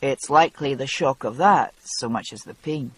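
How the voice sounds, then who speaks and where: A narrator voicing a young Scottish man who speaks quietly and matter-of-factly, gruff but gentle, in a husky voice with a Scottish accent. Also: normal speed, slight background noise, lightly acted, rough recording. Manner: low, quiet, matter-of-fact. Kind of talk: audiobook character voice.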